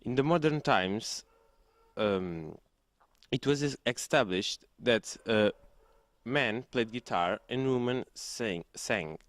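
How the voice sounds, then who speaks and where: A young man from Portugal answering interview questions in halting English with restarts. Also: mid-range voice, lively intonation. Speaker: student interviewee.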